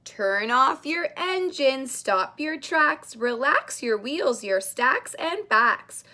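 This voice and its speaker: A woman reading a picture book aloud to young children like a daycare teacher, in an expressive, sing-song way with drawn-out words. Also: high-pitched. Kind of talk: animated read-aloud of a children's storybook.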